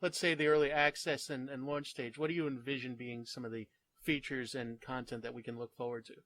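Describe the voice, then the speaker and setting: A man's voice: medium pitch, flat delivery, fairly quick, a bit bright, dry recording. A man hosting a gaming channel, opening with an upbeat greeting and interviewing a guest with eager reactions.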